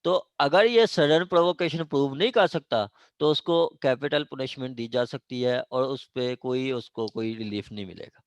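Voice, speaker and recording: A Pakistani man teaches a class in an informal, didactic way. His voice sits at a middle pitch and he keeps pausing to check understanding. The sound is dry, with hardly any echo. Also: dull audio. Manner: didactic, frequent check-in questions to students. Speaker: law lecturer. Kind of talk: informal classroom law lecture mixing Urdu and English legal terms.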